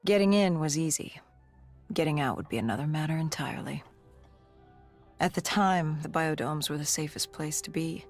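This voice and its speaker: An actor playing an augmented soldier in a video game, haunted and resolute, recounting past missions in grave, measured storytelling. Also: mid-range voice, no room sound, close to an everyday voice. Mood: subdued, resolute. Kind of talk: dramatic game cutscene narration. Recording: music under the voice. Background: music.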